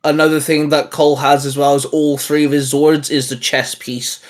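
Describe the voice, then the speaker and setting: Higher-pitched man chatting casually. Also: little pitch movement, moderate pace. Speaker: co-host of a hobby video channel. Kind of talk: casual geeky chat.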